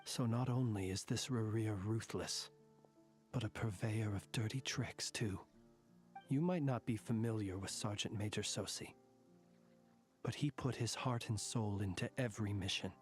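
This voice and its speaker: A man's voice: lowish voice, normal speed, faint music behind, close to an everyday voice. A man voicing a formal, wry soldier-scholar. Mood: somber. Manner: formal, wordy and dryly wry. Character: formal, world-weary adult male soldier-scholar. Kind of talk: game voice acting.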